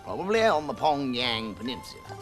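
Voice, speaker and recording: A man voicing a pompous, know-it-all male mouse in a storybook, high and self-important. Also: big pitch swings, a little fast, faint music behind, natural voice. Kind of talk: storybook character voice.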